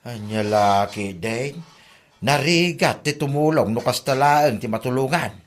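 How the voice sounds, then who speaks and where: A man's voice: medium pitch, measured pace, close to an everyday voice. Village uncle male actor, disapproving, Filipino Ilocano accent, comedy radio drama. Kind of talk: comedy drama podcast acting.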